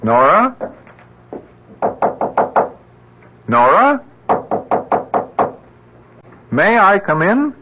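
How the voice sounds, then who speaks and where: An actor playing a bewildered, tender, frightened husband in an old-time radio drama, hesitant and earnest. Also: male voice, high-pitched, very animated, drawn-out words, background noise, exaggerated voice. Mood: coaxing. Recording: muffled old radio recording.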